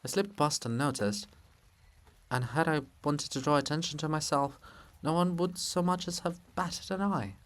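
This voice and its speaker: A man voicing a dignified, respectful male monument of a scholar in a formal, measured manner for a play reading. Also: a little high, a bit bright, natural voice. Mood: grave, grieving.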